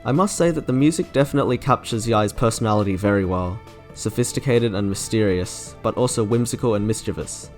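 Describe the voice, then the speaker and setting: A man's voice: fairly low pitch, brisk. A man delivering scripted commentary as the host of a video reviewing game character trailers. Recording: music under the voice. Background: background music.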